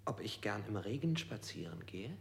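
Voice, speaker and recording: A man's voice: lowish voice, big pitch swings, normal speed, gravelly. An actor playing a bewildered man in a stylized radio play, answering hesitantly and echoing the questions put to him. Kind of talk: stylized radio drama acting.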